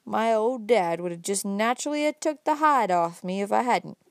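A woman's voice: medium pitch, expressive, moderate pace, dry recording, clean studio sound, natural voice. A woman voicing a talkative, folksy old countryman with a rustic drawl, reading an audiobook. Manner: chatty, homespun, emphatic.

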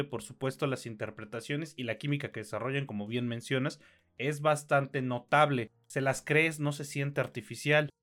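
A man with a Mexican accent talks through a film review on a podcast. His pitch sits in the middle for a man. He is conversational and opinionated, using plenty of slang. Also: fairly bright tone. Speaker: podcast co-host reviewing films and series.

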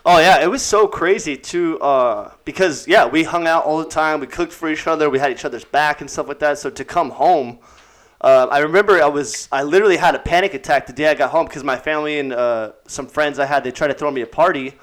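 Relaxed laughing man, slightly high voice. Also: fairly quick. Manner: laid-back, laughs often, swears casually. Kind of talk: casual chat with an old friend.

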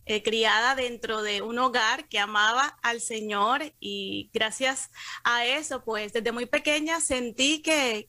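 A middle-aged Colombian woman speaks as an interview guest, sharing personal stories in a conversational way. Her voice sits a little high and has a very bright, clear edge. The sound is quite dry, with almost no room to it. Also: no room sound. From Cartagena, Colombia. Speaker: interview guest, communications professional.

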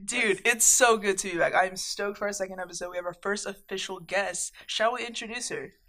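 A woman's voice: mid-range voice, fairly quick, a bit bright, dry recording. An upbeat young woman co-hosts a casual faith podcast. Her voice sits close and dry, with no room around it. She talks in a chatty, easygoing way and sometimes trips over a name. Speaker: podcast co-host. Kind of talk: casual chat and spoken prayer.

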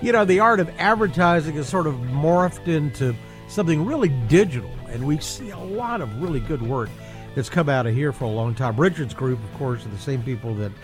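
Slightly high-voiced, casual radio interviewer. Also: male voice, lively intonation, brisk, faint music behind. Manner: conversational, loose, with ums and restarts.

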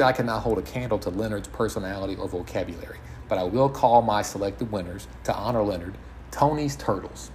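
A man hosting an informal solo podcast in a halting, measured way. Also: on the low side, darkish tone. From United States. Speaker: podcast host. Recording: audible background noise.

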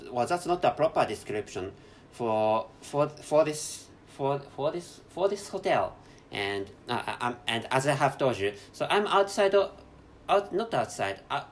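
A man with a Japanese accent hosting a solo podcast from a hotel room, speaking English slowly and carefully, with constant restarts and self-corrections. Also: a little high. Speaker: podcast host. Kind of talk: unscripted solo podcast monologue.

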